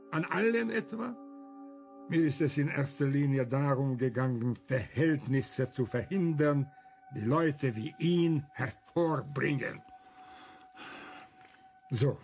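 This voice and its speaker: An actor playing an older, embittered but principled camp survivor and writer in a German radio crime drama, arguing emphatically with rhetorical questions. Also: male voice, a little high, creaky, a little mellow.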